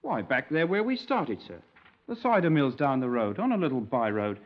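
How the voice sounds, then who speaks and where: An actor playing an eager, artless young lad, deferential and literal-minded, in a 1950s radio drama. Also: male voice, mid-range voice, big pitch swings, normal speed, close to an everyday voice. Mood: innocent. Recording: dull 1950s radio recording.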